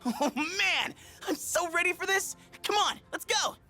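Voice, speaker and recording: A man's voice: very high-pitched, lively intonation, unhurried, creaky, sharp tone, soft background music, exaggerated voice. A man voicing a boisterous, goofy teenage boy with slangy speech in a video game. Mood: thrilled. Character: goofy, excitable teenage boy, loud class clown. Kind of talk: animated video game dub.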